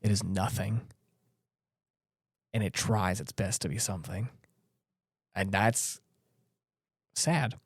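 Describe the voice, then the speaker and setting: A man's voice: on the low side, takes it slowly, creaky, long pauses, breathy edge, no room sound, studio quality. A man hosting a podcast in casual discussion, speaking earnestly and emphatically and repeating himself to stress his points. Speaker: podcast host.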